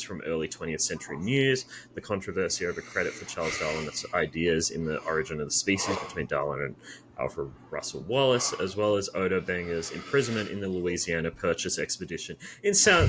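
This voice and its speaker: A man with a very low voice chatting on a movie podcast. He teases his co-hosts, laughs easily and reads passages aloud at an unhurried pace. A faint hiss sits under the recording. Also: expressive. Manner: teasing, laughs often. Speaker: podcast co-host. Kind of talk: casual chat, reading aloud from notes.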